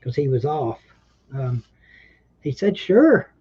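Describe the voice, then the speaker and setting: A man's voice: mid-range voice, sing-song, slow pace, warm tone, husky, lo-fi sound. A man hosting a casual livestream chat, rambling and laid-back, a bit short of breath. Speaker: livestream host.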